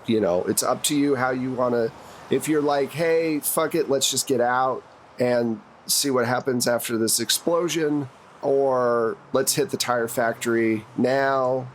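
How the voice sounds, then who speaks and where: Guy game mastering a tabletop rpg, casual narration, mid pitch voice, slight background noise. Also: measured pace.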